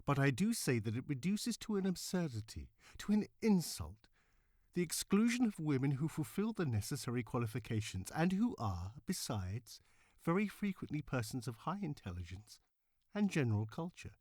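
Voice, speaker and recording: A man's voice: on the high side, very animated, a little mellow, no echo, clean studio sound. A man reading as an impassioned suffragist orator. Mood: indignant, ironic. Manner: formal, emphatic, measured oratory. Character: impassioned, cultivated suffragist woman orator of the 1900s. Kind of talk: dramatic reading of historical speech.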